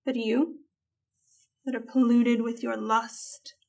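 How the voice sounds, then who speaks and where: A woman's voice: a little high, little pitch movement, slow pace, gravelly, heavily acted. A woman playing a defiant, condemned young holy warrior who speaks in classical verse, accusing her judges. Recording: voice distant from the mic.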